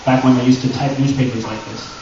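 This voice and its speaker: An American man giving an informal live panel talk to a convention audience, animated and conversational, with self-deprecating jokes. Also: mid-range voice, fast. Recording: echoey, dull, noisy room from far off.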